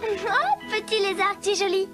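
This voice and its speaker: A very high, girlish cartoon heroine. She is a gentle, earnest young servant, sweet and patient however hard she works. Music plays clearly behind her. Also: expressive, normal speed. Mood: bright wonder. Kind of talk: dubbed cartoon acting. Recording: music under the voice.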